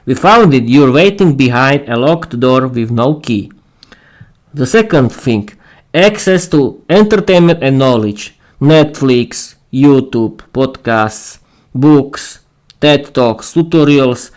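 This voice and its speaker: A man's voice: mid-range voice, lively intonation, slow. A man hosting an English-learning podcast, reading a written text aloud on a livestream with casual asides, stumbling and repeating words as he goes. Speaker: podcast host and English teacher.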